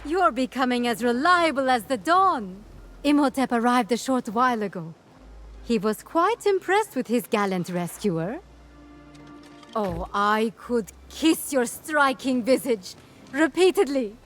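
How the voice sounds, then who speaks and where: A caring young scholar and ally in a fantasy game. Her voice is fairly high, earnest and expressive, with clearly articulated lines and some music behind her. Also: unhurried. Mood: delighted, grateful.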